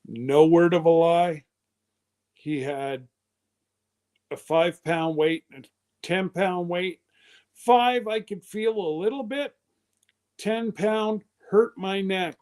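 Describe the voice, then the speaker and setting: Man, fifties, live-stream host, casual rambling chat, unhurried, slightly high voice. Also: a little mellow. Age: 50s. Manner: rambling, loose and conversational.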